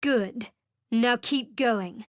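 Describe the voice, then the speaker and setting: A woman's voice: fairly high pitch, dry recording, studio quality. An actress playing a young woman cleric in a video game, calling out spells and terse battle lines. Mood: alert. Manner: measured incantations and terse battle calls. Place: studio voice booth.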